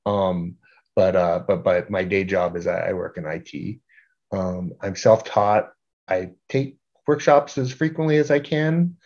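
A middle-aged man giving an informal slide presentation as a group organizer, halting and repeating words in a self-deprecating way. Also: deep voice, a little mellow. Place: indoor room at home, on a video call. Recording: dull, lo-fi video call audio.

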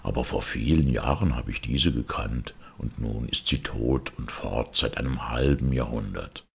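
A man voicing a gentle, wistful old man in a storybook, mild, reflective and gravelly. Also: a bass voice, a little slow, heavily acted.

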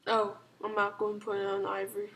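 Hoarse, sick woman rambling over gameplay. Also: mid-range voice, completely flat delivery, quick pace, a little hiss or hum. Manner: rambling, full of "like" and "um", soft-spoken. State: hoarse and sick, sore throat. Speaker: gaming channel host. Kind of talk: casual strategy game commentary.

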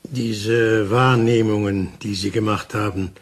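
An actor playing a calm, insistent investigator who questions in a measured, reassuring way in a German crime radio play. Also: male voice, on the low side, husky. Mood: probing.

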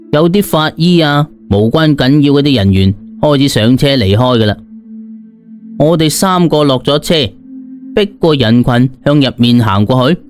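A man with a Cantonese accent narrating a scripted story dramatically, as an audiobook reader. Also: medium pitch. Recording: music behind the voice. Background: background music.

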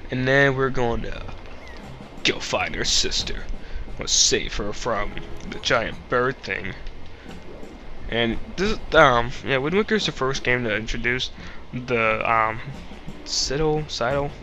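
A man's voice: mid-range voice, pushed and bright. A young man hosting a Let's Play with casual, rambling, jokey gameplay commentary and a slightly stuffy nose. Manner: rambling, goofy, jokey asides.

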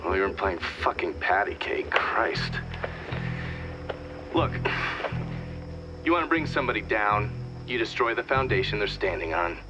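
An actor playing a blunt, foul-mouthed, cynical American ex-CIA operative testifying in a video game cutscene. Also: male voice, fairly low pitch, expressive, moderate pace, long pauses, over loud music, close to an everyday voice, poor audio. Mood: scornful, defiant. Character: brash, foul-mouthed, unrepentant ex-CIA operative testifying, middle-aged man.

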